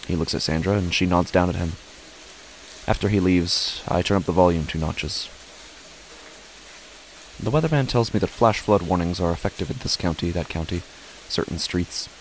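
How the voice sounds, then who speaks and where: A man's voice: moderate pace, slight background noise, poor audio. A man reading scripted horror fiction as a first-person narrator. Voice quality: low-pitched. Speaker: podcast host and narrator.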